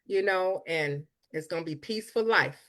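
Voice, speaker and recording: A woman's voice: lowish voice, normal speed, fairly bright tone. An American woman hosting a talk show, speaking in an encouraging, affirming way and repeating short phrases.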